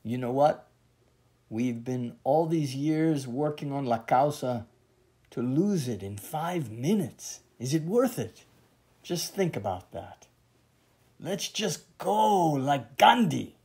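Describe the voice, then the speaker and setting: Casting: performer as calm male movement leader, slow and soft-edged, admonishing with rhetorical questions in a film monologue. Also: mid-range voice. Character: calm male farmworker leader counselling nonviolence and patience. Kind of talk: dramatized speech in oral-history film.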